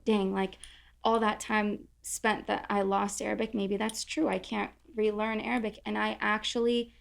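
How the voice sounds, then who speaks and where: Woman, young adult, from southern california, gravelly edge, chatty, self-deprecating. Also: medium pitch, level tone, moderate pace. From Orange County, California. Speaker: content creator, podcast guest. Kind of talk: casual roundtable conversation.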